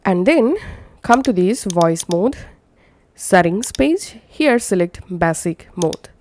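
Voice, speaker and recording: A woman with a slightly low voice narrates a software tutorial. She gives instructions one step at a time, as the presenter of a screen-recorded how-to. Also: expressive, takes it slowly, slight background noise. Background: screen-recording voice-over.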